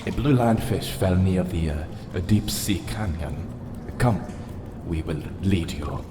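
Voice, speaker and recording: A man voicing a male merfolk guide who speaks in a halting, solemn way. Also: deep voice, reverberant, big-room sound, noisy background.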